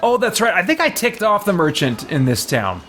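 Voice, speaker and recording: A man's voice: high voice, wildly swooping pitch, normal speed, bright, forceful tone, slight background noise. A man doing casual live gameplay commentary as a streamer, thinking aloud and reading things out. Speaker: game streamer. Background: faint game music.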